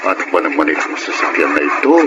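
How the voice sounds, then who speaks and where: A man's voice: a bass voice, big pitch swings, quick pace, loud music behind the voice, exaggerated voice. A Filipino man playing an impatient, grumbling motorist in a radio drama. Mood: irritated. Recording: very noisy radio drama audio.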